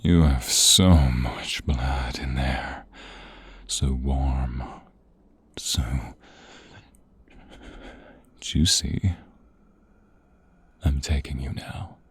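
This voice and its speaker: Man playing a very deep, husky vampire seducer. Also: fairly even intonation, no echo, exaggerated voice. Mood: hungry, savoring. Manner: slow teasing murmur, often breathy whispers, sighs. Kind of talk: intimate ASMR romance roleplay.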